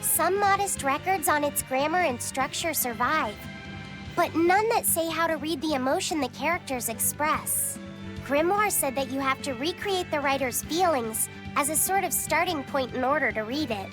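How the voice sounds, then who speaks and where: A gentle, studious little boy from a video game, very high in pitch. He talks earnestly and softly, with music clearly playing behind him. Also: lively intonation, moderate pace. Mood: earnest, explaining. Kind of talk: dubbed video game dialogue.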